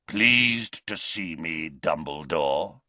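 A man voicing a rasping, bloodthirsty werewolf villain in an audiobook, slowly savouring his words with menace. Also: a bass voice, lively intonation, sharp tone, dry recording, pitched below the performer's own voice, exaggerated voice. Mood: gloating menace. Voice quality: rasping bark, gravelly.

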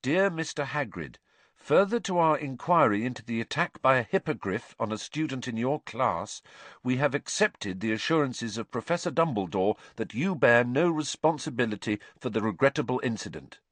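Man as a schoolboy reading formally, pitched up. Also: expressive, normal speed, a bit bright, no echo. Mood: formal, careful. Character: schoolboy reading a formal official letter aloud.

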